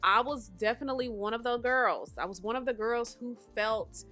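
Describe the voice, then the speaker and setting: A woman's voice: fairly high pitch, moderate pace, sharp tone, soft background music. Chatty congested woman in her thirties. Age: 30s. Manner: chatty, calls listeners honey and baby. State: congested, a little nasal. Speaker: podcast host. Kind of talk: casual podcast monologue. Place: at home.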